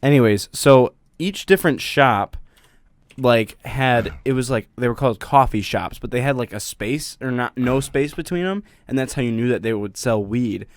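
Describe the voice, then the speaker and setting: A man's voice: mid-range voice, lively intonation, moderate pace, dry recording. A young man, the podcast's producer, telling a rambling story and giggling between bits. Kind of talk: casual chat.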